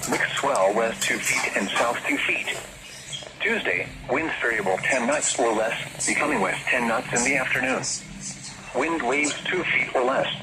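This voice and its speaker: Synthetic male announcer on noisy weather radio. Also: medium pitch. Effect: radio transmission. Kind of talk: automated marine and weather forecast readout.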